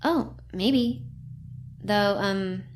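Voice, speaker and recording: A woman's voice: medium pitch, drawn-out words, slight background noise. A woman playing a timid, awkward lab researcher in a sci-fi audio drama, hesitant and apologetic. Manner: hesitant, self-correcting, apologetic. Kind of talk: naturalistic audio drama acting.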